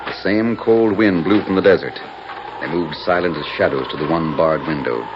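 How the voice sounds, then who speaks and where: A man narrating a 1940s radio western with dramatic, measured storytelling. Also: low voice, background noise, lightly acted. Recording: muffled 1940s radio recording with loud music.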